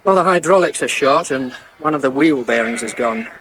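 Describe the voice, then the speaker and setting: An actor playing a wry, harried farmer with a British accent in a 1980s radio soap. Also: male voice, medium pitch, expressive, a little fast, fairly bright tone, natural voice. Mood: rueful. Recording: faintly noisy old radio broadcast.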